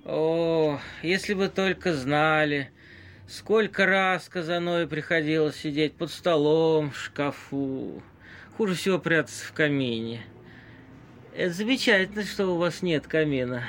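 An actor in an amateur audio play voicing Casanova's haughty, lamenting ghost stuck in a drunken tramp's body, with florid, theatrical speech. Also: male voice, a little high, unhurried, a bit bright. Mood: boastful.

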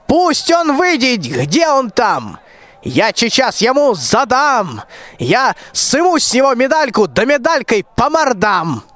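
A young man in a radio play acting a cowardly, wheedling, self-pitying old tsar in slow, theatrical, plaintive rhymed verse. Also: very high-pitched, sing-song, a bit bright, no echo, exaggerated voice. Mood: blustering. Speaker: school student actor.

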